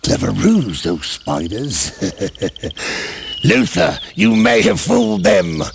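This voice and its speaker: A man's voice: on the high side, expressive, slow pace, vocal fry, noisy background, exaggerated voice. An actor playing a sly, menacing pursuer in a video game, brooding and theatrical as he muses to himself. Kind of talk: video game cutscene acting.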